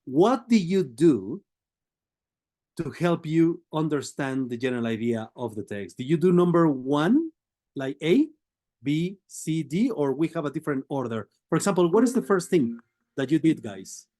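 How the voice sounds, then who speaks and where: A man's voice: fairly high pitch, big pitch swings, normal speed, warm tone. A man teaching an online class over a video call, patiently prompting students with questions. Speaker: language teacher.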